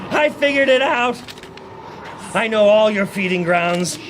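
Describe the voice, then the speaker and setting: A terrified, obsessed man in a radio drama, overwrought and close to mania. His voice sits high and moves slowly, halting under dread, on a recording with clear noise. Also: lively intonation, fairly bright tone, exaggerated voice. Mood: feverish defiance. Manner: overwrought, halting, breaking into manic laughter and cries. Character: terrified obsessed man besieged by a living wind. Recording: noisy old radio drama broadcast.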